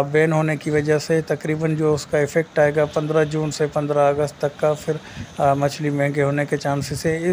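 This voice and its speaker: A man with a Pakistani accent giving an informal market report, pausing often on drawn-out fillers. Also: fairly high pitch, a little mellow, a little hiss or hum. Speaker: host of fish market report vlog.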